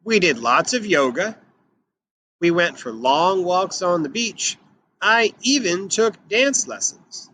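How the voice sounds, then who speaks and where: Male children's storybook reader, higher-pitched and bright, expressive and emphatic delivery. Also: takes it slowly. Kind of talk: expressive read-aloud of a picture book for children.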